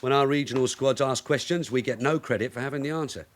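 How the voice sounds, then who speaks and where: An actor playing a shrewd veteran detective sergeant, wry and blunt, in a naturalistic radio drama. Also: male voice, medium pitch, fast talker, natural voice. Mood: brisk, wry.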